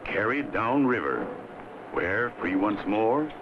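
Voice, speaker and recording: Slow deep-voiced man narrating an old film. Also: sing-song, background noise. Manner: measured, dramatic delivery with deliberate pauses. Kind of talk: scripted educational film narration. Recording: muffled old film soundtrack. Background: plucked guitar music.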